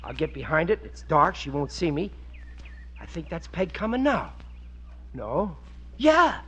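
A man's voice: a little high, sing-song, unhurried, pushed and bright, breathy edge, full character voice. An actor playing a blustering, stammering middle-aged American working-class husband and father in an old radio sitcom. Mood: scheming. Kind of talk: 1940s radio sitcom acting. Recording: muffled, hissy old radio recording.

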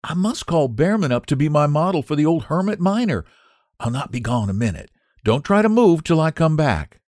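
A man voicing a caring young woman artist, brisk and urging. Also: a little high, big pitch swings, darkish tone, dry recording, studio quality, voice pushed up.

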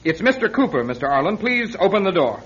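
An actor playing a flustered, officious hotel manager in a radio drama, fussy and stammering. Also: male voice, sits high, very animated, fast, over music. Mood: concerned, awkward. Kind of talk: classic radio drama acting. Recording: dull lo-fi radio recording.

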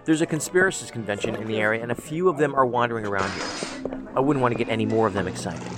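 A man's voice: medium pitch, fast, over music, natural voice. Rambling, quirky male agent, acted by a man. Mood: musing, chatty. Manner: rambling, pedantic, matter-of-fact. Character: quirky, rambling, tactless male federal agent, overanalytical oddball. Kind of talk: audio drama acting.

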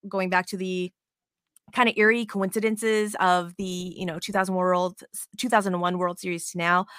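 Bright-voiced excitable woman podcast host. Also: medium pitch, clean tone, no room sound, studio quality. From Las Vegas, United States. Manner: excitable, swears freely, animated storytelling. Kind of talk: casual sports podcast chat.

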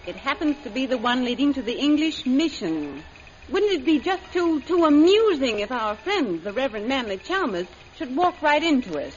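Sardonic slangy adventuress in old radio serial, lively pitch, muffled sound w/ a bit of hiss. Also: female voice, moderate pace. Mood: uneasy, sarcastic. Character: wisecracking, wary female adventuress and secret agent. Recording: muffled old radio recording, faint noise.

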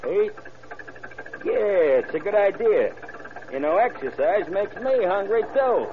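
An actor playing a callous, bossy gangster with a tough, slangy, sneering delivery in an old radio crime drama. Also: male voice, high voice, expressive, measured pace. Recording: muffled, noisy old radio recording.

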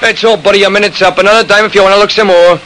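An actor playing a gruff, streetwise, impatient city tough in an old-time radio crime drama, with slangy, brusque speech. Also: male voice, high-pitched, fairly even intonation, very fast, natural voice. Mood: gruff, dismissive. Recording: noisy vintage radio recording.

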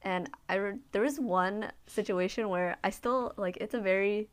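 A woman's voice: mid-range voice, moderate pace, clean tone. Young woman, casual chat, reflective and hesitant storytelling. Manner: reflective, hesitant, many fillers.

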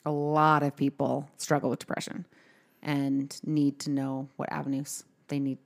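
A woman's voice: very deep voice, flat delivery, moderate pace. A woman co-hosting a podcast, talking in a chatty, informal way and putting questions to a guest. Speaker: podcast co-host. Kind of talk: casual podcast chat.

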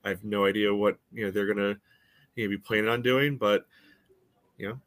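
A man's voice: fairly low pitch, steady tone, a little fast, fairly bright tone, no room sound. A man giving casual sports analysis on a podcast, rambling along and peppering it with filler phrases. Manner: rambling, peppered with "you know".

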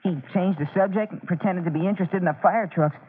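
A young man playing an excitable, earnest boy junior firefighter in an old-time radio drama, eager and full of boyish exclamations. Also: sits high, fast, a little hiss or hum, lightly acted. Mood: excited, eager. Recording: muffled tinny vintage radio recording.

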